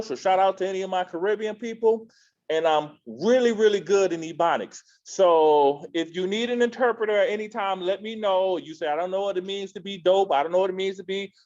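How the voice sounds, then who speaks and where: A man from Miami with a slight Southern twang gives an informal, slangy motivational presentation, repeating phrases and addressing listeners directly, over dull lo-fi audio. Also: high-pitched. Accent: American, slight Southern twang. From Miami, Florida. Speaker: keynote speaker, educator and author. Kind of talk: motivational presentation to an online audience.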